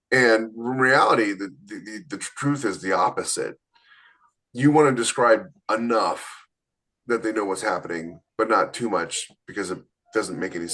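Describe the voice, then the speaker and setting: An emphatic man with a low-pitched voice, co-hosting a relaxed podcast chat about writing. He lays out his points firmly, like someone giving advice. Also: poor audio. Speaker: podcast co-host. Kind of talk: casual panel chat.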